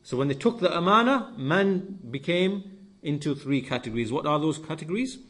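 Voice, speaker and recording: A man teaching scripture in a sermon-like lesson, speaking in short deliberate phrases and repeating key words for emphasis. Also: a little high, animated, fairly bright tone. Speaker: religious teacher.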